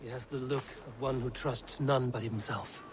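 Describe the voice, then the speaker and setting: An actor playing a blunt, loyal Viking warrior in a video game, with measured, stern, formal speech. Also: male voice, medium pitch, steady tone, noisy background, natural voice. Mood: stern, warning.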